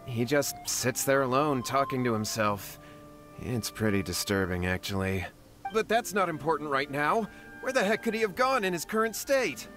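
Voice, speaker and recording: A flustered young man, voiced by a man, speaks anxiously and hurriedly at a middling pitch. Game music sits clearly behind him. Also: expressive, normal speed, fairly bright tone, close to an everyday voice. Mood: worried. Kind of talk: video game voice acting.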